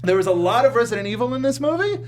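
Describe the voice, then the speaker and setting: Animated, high-voiced man hosting a panel. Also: rapid-fire. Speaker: talk show host. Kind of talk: casual panel film discussion.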